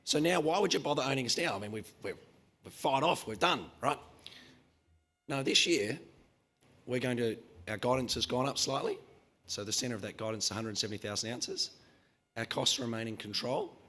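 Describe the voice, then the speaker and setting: A man speaks at a conference, his voice worn and hoarse from losing it. He delivers his talk in a brash, confident, quick-fire way, and his pitch sits in the middle of the range. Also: expressive, sharp tone. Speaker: mining company CEO presenting. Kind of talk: conference presentation. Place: conference hall.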